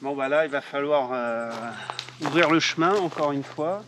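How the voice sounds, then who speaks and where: Man, thirties, relaxed hesitant vlog talk, far from the mic, outdoor noise. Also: fairly high pitch, normal speed. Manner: relaxed, hesitant with many fillers. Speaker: vlogger and 4x4 driver. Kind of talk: casual travel vlog. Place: in a car and outdoors on a forest track. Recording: distant from the mic, noisy outdoors.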